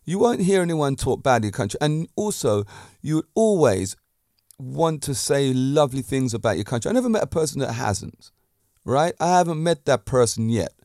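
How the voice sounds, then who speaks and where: A man's voice: medium pitch, big pitch swings, normal speed, darkish tone, dry recording, studio quality. A man hosting a podcast, giving a self-assured motivational monologue full of emphatic points and rhetorical questions. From Britain. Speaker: podcast host.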